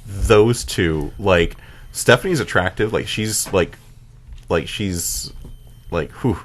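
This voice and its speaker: A man co-hosting a podcast, chatting casually in a halting, filler-heavy way. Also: sits low. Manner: halting, peppered with "like". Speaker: podcast co-host. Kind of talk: casual chat.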